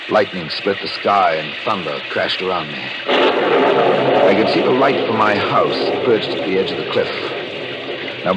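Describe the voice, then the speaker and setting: A man's voice: low-pitched, highs cut off, noisy background, lightly acted. An actor playing a bitter, scheming husband who narrates his revenge in brooding, dramatic 1940s radio thriller style. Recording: old radio recording with music behind.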